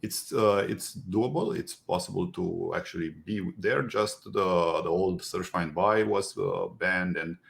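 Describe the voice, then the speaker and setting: Romanian-accented male guest, gravelly and lowish, jokey, hesitant delivery. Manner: joking, halting with many uh fillers. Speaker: podcast guest, agency co-founder. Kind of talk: casual interview.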